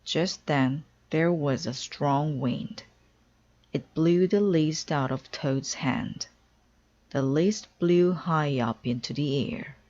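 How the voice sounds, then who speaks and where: A woman with a low voice reads a children's story aloud. Her narration is careful and very slow, and the recording sounds slightly dull. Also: darkish tone. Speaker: storybook reader.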